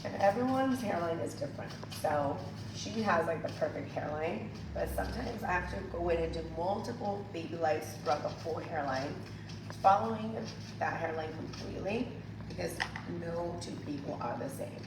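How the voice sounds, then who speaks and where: Husky low-voiced hair educator, echoey. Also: female voice, expressive, normal speed. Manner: chatty, addresses viewers as "you guys". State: recovering from losing her voice. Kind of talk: hands-on tutorial demonstration. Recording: echoey room, distant mic, noisy.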